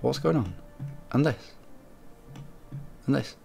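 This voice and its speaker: A man with a head cold streaming a strategy game, rambling and correcting himself, often clearing his throat. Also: mid-range voice, expressive, takes it slowly, warm tone, faint music behind. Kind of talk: casual game commentary.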